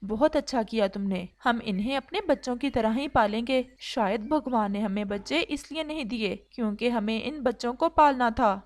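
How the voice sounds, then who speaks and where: Lady doing a nice papa sparrow in a cartoon, calm n earnest, kinda high voice. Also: normal speed, hardly any pauses, close to an everyday voice. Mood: accepting, kind. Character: kindly, agreeable husband sparrow, adoptive father. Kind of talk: Hindi cartoon story acting.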